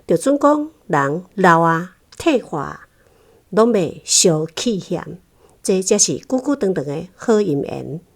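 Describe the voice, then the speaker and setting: A woman's voice: lowish voice, a little mellow. A woman with a Taiwanese accent reading out a prepared personal reflection on a radio program. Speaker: guest reading her book reflections. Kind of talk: prepared spoken essay, recited slowly.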